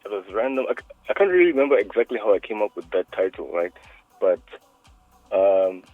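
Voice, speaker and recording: A man with a very deep voice calls in to a radio show, his speech thin and tinny through the phone line. He hesitates often with "um"s and laughs easily as he talks. The sound is dry and close, and soft music plays faintly behind. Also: big pitch swings, brisk, no echo. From South Africa. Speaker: music producer guest. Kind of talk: phone-in interview.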